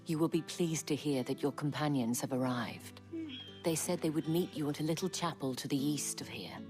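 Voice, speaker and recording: An actress playing a composed courtesan-house madam in a game cutscene, speaking low and breathy with measured, formal, knowing phrasing. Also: female voice, lightly acted. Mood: composed, courteous. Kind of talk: dramatic video game cutscene acting. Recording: loud music under the voice.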